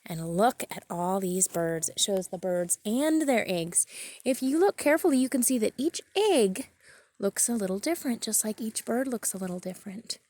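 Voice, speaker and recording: A woman's voice: mid-range voice, sing-song, moderate pace, darkish tone. A woman reading a picture book aloud like a teacher, adding simple, chatty explanations for children outdoors in a garden.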